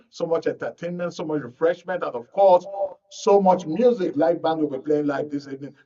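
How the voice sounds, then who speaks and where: A man with a Nigerian accent presenting live as host of a radio and television show. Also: a little high. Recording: distant mic, slightly muffled sound.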